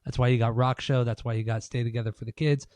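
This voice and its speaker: A man's voice: mid-range voice, steady tone, fast talker, a little mellow, no echo. A man co-hosting a casual podcast, losing his voice, talking in a loose, opinionated way with plenty of swearing. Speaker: podcast co-host.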